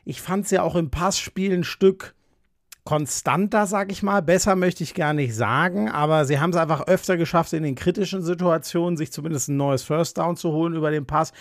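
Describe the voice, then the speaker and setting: A man in casual sports podcast chat, rambling along with plenty of fillers. His voice sits a little high and sounds close and dry, with no room around it. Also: a little fast, no room sound. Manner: chatty and rambling, many fillers. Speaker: podcast co-host. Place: room in a family home.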